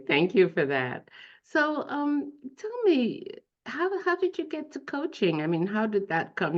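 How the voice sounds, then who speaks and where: A woman hosting and introducing an interview, speaking in a polite and measured way. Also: medium pitch. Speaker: interviewer, executive leadership coach. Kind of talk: interview host. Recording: dull lo-fi audio.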